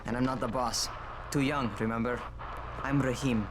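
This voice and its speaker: A man's voice: medium pitch, steady tone, moderate pace, background noise, lightly acted. A man playing a brash, bossy young survivor who scolds curtly in a video game. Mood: irritated, dismissive. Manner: scolding, curt, profane.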